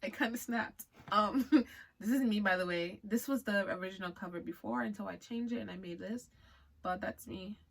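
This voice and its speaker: A woman with a Liberian accent, whose first language is not English, chats with her viewers and reads her own story aloud. She is giggly and full of playful slang, and her pitch sits in an ordinary middle range. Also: moderate pace, creaky, breathy edge. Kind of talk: casual chat and reading aloud.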